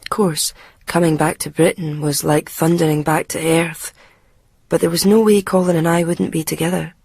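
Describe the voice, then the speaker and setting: A woman's voice: sits low, fairly even intonation, moderate pace, creaky, a little mellow, breathy edge, no echo, studio quality, heavily acted. An actress playing a fragile, lovestruck young Scottish woman from Glasgow, telling her story intimately and wistfully in a radio drama. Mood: dreamy, confiding. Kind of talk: radio drama monologue and dialogue.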